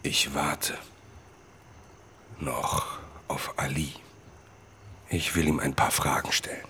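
A man acting as a brooding, suspicious middle-aged writer, narrating a radio play in the first person with a gravelly, measured, introspective delivery. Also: extremely low pitch, little pitch movement, sharp tone, slight background noise, heavily acted. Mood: bitter, defiant. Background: music.

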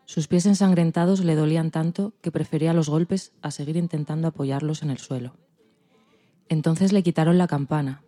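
A woman's voice: low-pitched, dark tone. A woman with an accent from Spain, narrating expressively as a podcast storyteller. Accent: Spanish (Spain).

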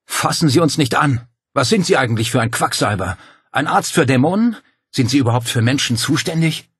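Man acting a furious young man, pitched up. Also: lively intonation, normal speed, slightly rough, dry recording, studio quality. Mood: hostile, angry. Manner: loud, indignant, challenging. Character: furious, defiant young man, disbelieving and protective. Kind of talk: dramatic audiobook dialogue.

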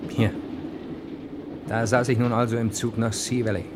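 An actor playing a hard-boiled, cocky hero who narrates a German radio crime play in clipped slang, talking straight to the listener. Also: male voice, mid-range voice, flat delivery, normal speed, heavy background noise, lightly acted. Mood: wary, gruff.

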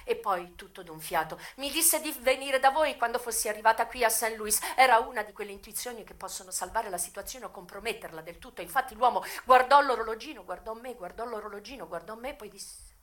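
A woman performing a theatrical first-person monologue for radio, expressive, with dramatic pauses and sudden swells. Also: a little high.

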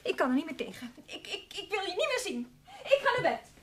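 An actress playing an exasperated, sharp-tongued middle-aged wife in a radio play, with an emphatic, scolding delivery. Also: female voice, extremely high pitch, sing-song, fairly quick. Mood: angry, hurt. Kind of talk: Dutch radio drama acting.

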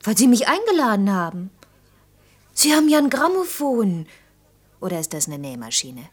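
An actress playing a direct, provoking young employee in a naturalistic radio play, pert and questioning in manner. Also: female voice, medium pitch, big pitch swings, normal speed, breathy edge. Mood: curious, teasing. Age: young adult.

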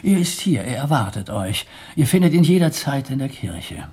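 An actor playing a stern, pious medieval abbot in a German radio drama, speaking in a solemn, formal, lecturing way with a husky voice. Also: male voice, medium pitch, sing-song, normal speed, a little mellow. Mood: measured.

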